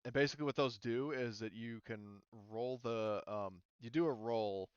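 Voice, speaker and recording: Smooth-voiced man running a tabletop game. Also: medium pitch, moderate pace, no room sound, highs cut off. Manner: casual, profane. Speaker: game master. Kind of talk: casual tabletop game session talk.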